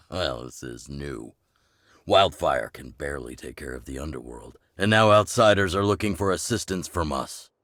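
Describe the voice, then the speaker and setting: A man voicing a gruff, wry older rebel chief in a video game, with a measured, sardonic delivery. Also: expressive, a bit bright, no echo, clean studio sound, full character voice. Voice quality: deep, gravelly. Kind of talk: scripted video game voice acting. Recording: game music under the voice. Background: game music.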